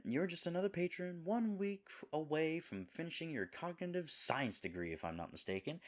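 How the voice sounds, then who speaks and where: A man playing a lovable, nervous himbo boyfriend in an intimate audio roleplay, earnest and rambling. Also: fairly low pitch. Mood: fond, playful. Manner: earnest, rambling, hesitant, dropping into breathy asides.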